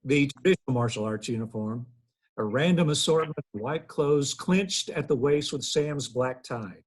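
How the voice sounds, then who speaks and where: A man's voice: mid-range voice, lively intonation, moderate pace, breathy edge, rough recording. A man reading out stage directions at a sitcom table read, in a plain narrating manner.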